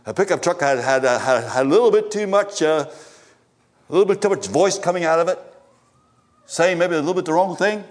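A man preaching a sermon at a church service, swinging from emphatic exhortation to low, stammered asides. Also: a little fast. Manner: rises to emphatic exhortation, then drops low, with stammered repetitions.

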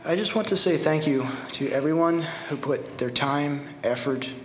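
A young man from Ohio giving an earnest, halting testimony at a Bible school and reading a short poem he wrote. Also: on the high side, slight background noise. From Ohio, USA. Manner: earnest, halting, reads his poem slowly. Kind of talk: spoken testimony and recited poem. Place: church hall. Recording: echoey hall with long reverb.

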